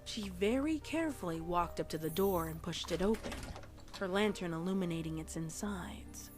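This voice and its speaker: A woman's voice: lowish voice, expressive, moderate pace, music in the background. A woman reading fan fiction aloud as a storyteller, with casual reaction asides. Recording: faint background noise.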